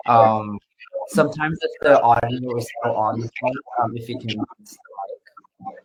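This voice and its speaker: A man from Canada talking casually and haltingly as an interview guest, through a laptop on a video call. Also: medium pitch, rough recording. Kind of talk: casual self-introduction and chat.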